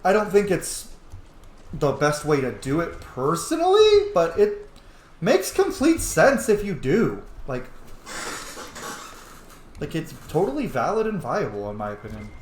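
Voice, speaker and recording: A man's voice: a little high, huge pitch swings, unhurried, long pauses, reverberant, slight background noise. A man giving casual, chatty gameplay commentary, exclaiming and swearing as he plays. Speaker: let's player narrating gameplay.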